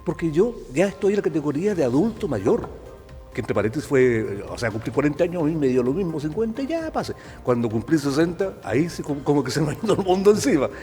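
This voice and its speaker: Chilean TV host, sixties, playful and animated, with some faint music behind. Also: male voice, a little high, quick pace. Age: 60s. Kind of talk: casual studio chat.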